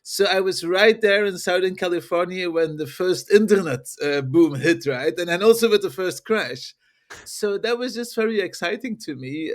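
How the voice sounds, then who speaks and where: This is a middle-aged man from Belgium, speaking in a notably high voice as he answers questions. He talks in a lively way and chuckles between his points. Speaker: marketing professor, guest. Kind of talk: interview answers.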